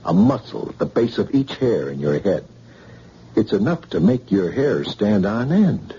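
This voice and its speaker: Mocking, sharp-voiced man with wide swings. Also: lowish voice, big pitch swings, measured pace, breathy edge, heavily acted. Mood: smug, taunting. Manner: sardonic, mocking. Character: shrewd man taunting a cornered criminal. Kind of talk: radio drama acting. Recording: dull old radio recording, faint noise.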